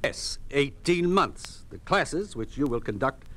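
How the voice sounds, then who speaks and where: An actor playing a cold, domineering hospital director in an old radio drama, with a commanding, condescending manner. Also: male voice, mid-range voice, animated, unhurried, fairly bright tone. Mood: brisk, official. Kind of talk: 1950s radio drama acting. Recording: old 1950s radio recording.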